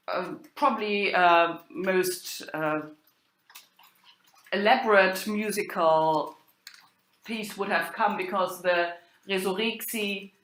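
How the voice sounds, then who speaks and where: A woman giving an academic lecture in a halting way, pausing and hesitating often. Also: mid-range voice, a bit bright. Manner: halting delivery with long hesitations. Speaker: lecturer, university professor. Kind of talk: spoken lecture on old drama texts. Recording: echoey room.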